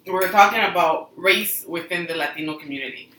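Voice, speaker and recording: A woman's voice: lowish voice, fairly quick, far from the mic. A woman from Panama telling a story animatedly in a casual group chat, with a faint echo of the room. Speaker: discussion panelist. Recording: echoey room, faint noise.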